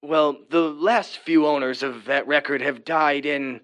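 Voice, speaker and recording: An actor playing a friendly, apologetic record dealer in an audio drama, casual and a little hesitant. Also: male voice, a little high, steady tone, a little slow, a bit bright, clear, resonant tone. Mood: friendly, uneasy.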